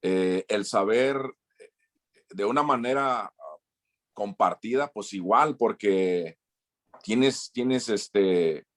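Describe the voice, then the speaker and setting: Mexican man, low-pitched, former missionary guest; hesitant, restarting interview answers. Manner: halting, many restarts and repeated words.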